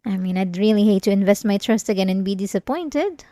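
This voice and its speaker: A woman playing an obsessive, manipulative therapy patient in an ASMR roleplay, outwardly calm and vulnerable, speaking haltingly. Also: mid-range voice, no room sound, clean studio sound, close to an everyday voice. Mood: soft, probing. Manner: hesitant, halting, with sighs and nervous soft laughs. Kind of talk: intimate ASMR roleplay monologue.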